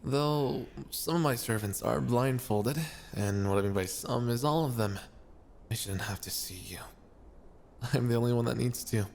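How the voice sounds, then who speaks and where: A man's voice: mid-range voice, normal speed, creaky, a little mellow, a little hiss or hum, natural voice. A man playing a brash young king, an obsessive yandere, in an intimate, intense ASMR roleplay. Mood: possessive, boastful.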